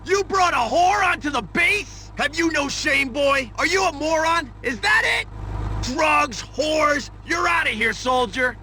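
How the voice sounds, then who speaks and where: An actor playing an outraged, domineering army sergeant barking scoldings in a video game. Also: male voice, very high-pitched, lively intonation, measured pace, bright, forceful tone, heavily acted. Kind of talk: video game cutscene acting.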